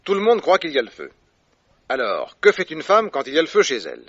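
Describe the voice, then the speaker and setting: A confident, playful sleuth in a radio drama, setting out his reasoning with theatrical flair. The delivery is animated and forceful, in a dry, slightly dull vintage recording. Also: fairly high pitch, quick pace, dry recording, exaggerated voice. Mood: confident, triumphant. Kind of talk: French radio drama acting.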